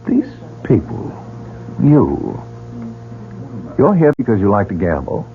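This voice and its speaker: A wary, curious newcomer. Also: male voice, lowish voice, huge pitch swings, slow, full character voice. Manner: inquisitive, wary. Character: curious, guarded male newcomer. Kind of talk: 1940s radio drama acting. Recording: phone-like old radio broadcast.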